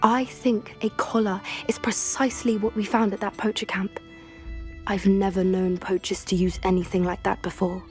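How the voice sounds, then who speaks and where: A woman's voice: medium pitch, little pitch movement, moderate pace, a little mellow, soft background music, lightly acted. An actress playing a compassionate, determined teenage witch in a video game, speaking earnestly. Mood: indignant, concerned. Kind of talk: scripted video game acting.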